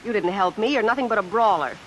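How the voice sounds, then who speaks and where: A woman's voice: mid-range voice, fast, lo-fi sound, close to an everyday voice. A woman acting a wary, disappointed young lady in old-time radio suspense style, curt and guarded. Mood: hurt, dismissive. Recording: hissy vintage radio with rain. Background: faint hiss and rain.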